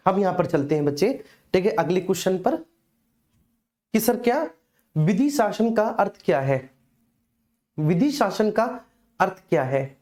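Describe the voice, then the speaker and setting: A man's voice: sits high, very animated, a little slow, pauses often, warm tone. A man teaching an informal live online exam class, instructing repetitively and often checking that students follow.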